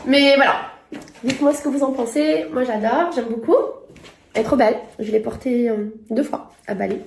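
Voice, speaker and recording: A woman's voice: on the high side, moderate pace, creaky, darkish tone, roomy sound, a little hiss or hum. A woman who is not a native French speaker, talking casually to her followers in a vlog and searching for her words in French. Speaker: lifestyle influencer.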